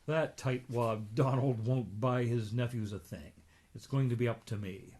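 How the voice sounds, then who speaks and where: A man's voice: mid-range voice, fairly even intonation, a little slow, darkish tone, natural voice. A man voicing a curt, bossy, rich old uncle duck as he reads a comic book aloud. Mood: decisive.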